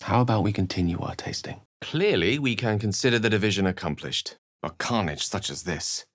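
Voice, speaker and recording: A refined, scholarly video game wizard in middle age. He phrases things ornately and with wry precision, theatrical yet measured. The recording is close and dry. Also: lowish voice, expressive, a bit bright, dry recording. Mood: measured, musing. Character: eloquent, refined adult male wizard companion, wry and scholarly.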